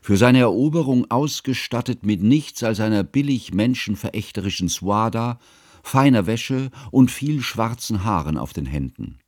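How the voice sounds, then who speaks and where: An actor playing an earnest, learned male chronicler, narrating a literary radio drama in formal, measured, pedantic diction. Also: lowish voice, animated, darkish tone, dry recording, studio quality. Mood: scornful, sorrowful.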